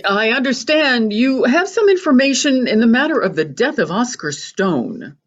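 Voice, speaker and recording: A woman playing a brisk, wry police inspector in a radio drama, slightly dull recording. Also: fairly high pitch, sing-song. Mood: businesslike. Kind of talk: old-time radio drama acting.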